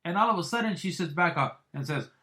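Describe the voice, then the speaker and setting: A man hosting a boxing podcast, rambling with many restarts and crude, blunt remarks, swinging between loud excited bursts and low mutters. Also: fast talker. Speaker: podcast host. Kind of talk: casual boxing podcast commentary.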